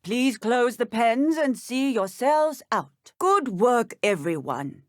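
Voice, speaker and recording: Strict lady magic teacher for a video game, precise and proper, takes her time, lively intonation. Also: fairly high pitch, slow pace, dry recording, studio quality. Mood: composed, instructive. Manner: formal, precise, schoolteacherly. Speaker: voice actor. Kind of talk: scripted video game dialogue.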